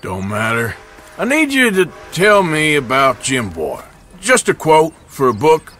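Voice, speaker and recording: An actor playing a tough, blunt cowboy outlaw in a Western video game, with an American drawl. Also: male voice, fairly high pitch, wildly swooping pitch, unhurried, pushed and bright, faint music behind, exaggerated voice. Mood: gruff, wry. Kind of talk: video game cutscene acting.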